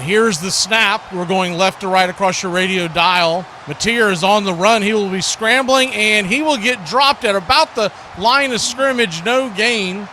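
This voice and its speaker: A man hosts a live football stream as play-by-play announcer. His voice sits high for a man and has a bright, forceful edge. He talks in short calls with folksy asides, over faint background noise.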